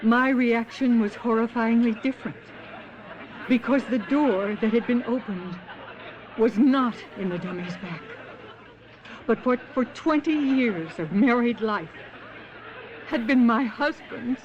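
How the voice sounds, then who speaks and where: An actress playing an anguished middle-aged wife, speaking dramatically in a radio play, on a lo-fi recording. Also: female voice, a little high, measured pace, pauses often, natural voice. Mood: horrified. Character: horrified middle-aged wife of a stage performer. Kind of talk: first-person radio drama narration and acting. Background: audience crowd noise and laughter.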